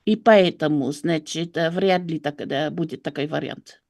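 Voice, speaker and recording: A woman's voice: low-pitched, moderate pace, creaky, darkish tone, dry recording, clean studio sound. A woman from France with a French accent gives political analysis as an interview guest, searching for words and correcting herself. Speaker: political commentator and writer.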